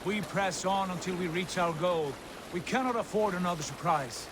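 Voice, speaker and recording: An actor playing a proud, commanding Viking jarl in a video game, speaking in a stately, declamatory way. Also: male voice, high voice, normal speed, noisy background, close to an everyday voice. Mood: calm, assured. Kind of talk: cinematic video game acting.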